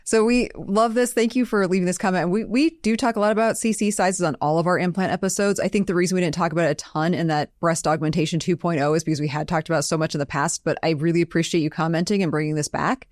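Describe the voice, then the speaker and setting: A woman's voice: lowish voice, steady tone, quick pace, hardly any pauses, darkish tone, no echo, studio quality. An American woman co-hosting a health Q&A podcast, chatty and explanatory in a conversational style. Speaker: podcast co-host and nutritional therapy practitioner.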